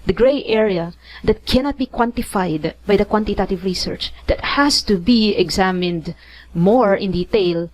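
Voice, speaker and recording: A woman's voice: medium pitch, moderate pace, rough recording. A woman from the Philippines with a Filipino accent, giving a conversational lecture, thinking aloud with restarts and tag questions. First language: not English. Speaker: teacher. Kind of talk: online lecture.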